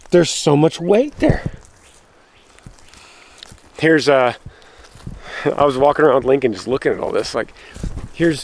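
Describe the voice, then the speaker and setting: Excitable man filming in the woods. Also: fairly high pitch, a little hiss or hum. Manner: excitable, breaks off and restarts, addresses viewers as guys. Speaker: vlogger showing storm damage. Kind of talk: excited walking vlog to camera. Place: outdoors in rural woods. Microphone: handheld camera while walking.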